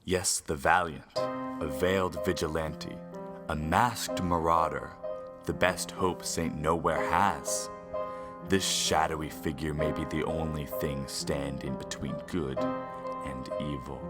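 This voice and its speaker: An actor playing an ominous, theatrical host and narrator of an old-time radio mystery serial, with brooding, grandiose, drawn-out delivery. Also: male voice, extremely low pitch, steady tone, loud music behind the voice.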